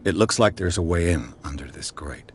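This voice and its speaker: A man's voice: deep voice, expressive, moderate pace, slight background noise. A man playing a serious investigator in a video game, terse and formal.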